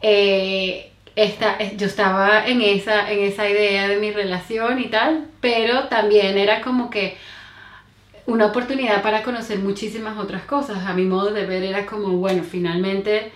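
A Venezuelan-accented woman in her thirties speaks in an unhurried, halting way, often circling back and starting over. Her voice sits at a medium pitch and carries a noticeable room echo. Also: fairly even intonation. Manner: hesitant, many fillers and restarts. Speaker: interview guest. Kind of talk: casual conversational interview.

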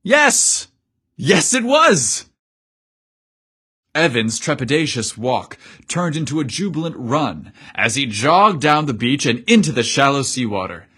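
A man's voice: mid-range voice, sing-song, measured pace, bright, forceful tone, breathy edge. A man narrating a scripted story as its host, in a dramatic, theatrical and emphatic style.

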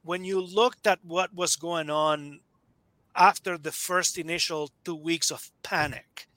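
Bright-voiced man, hesitant guest author. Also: fairly high pitch, fairly even intonation, measured pace, no room sound. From Nicaragua. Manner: hesitant, many fillers and restarts. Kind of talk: casual interview talk.

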